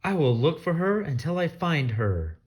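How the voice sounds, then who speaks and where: A woman's voice: low-pitched, sing-song, normal speed, darkish tone, pitched below the performer's own voice. A woman voicing a contented, easygoing male bird from a storybook in a simple manner, slightly muffled. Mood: earnest. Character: happy, easygoing male bird, a devoted husband. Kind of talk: storybook character voice.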